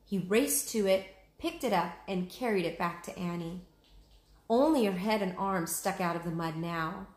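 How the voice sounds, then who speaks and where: A woman's voice: fairly low pitch, moderate pace. A woman hosting a read-aloud, narrating a children's storybook expressively. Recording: echoey room.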